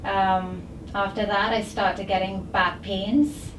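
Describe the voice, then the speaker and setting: A woman from Barbados with a Barbadian accent, speaking as a patient recounting her medical history in halting short phrases. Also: mid-range voice, flat delivery. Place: indoor chiropractic clinic. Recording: echoey noisy room, distant mic.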